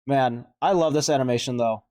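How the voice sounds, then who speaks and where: A man's voice: mid-range voice, brisk, mellow, dry recording, studio quality. A man giving casual, off-the-cuff reaction commentary as a video host, rambling and hesitant as he thinks aloud.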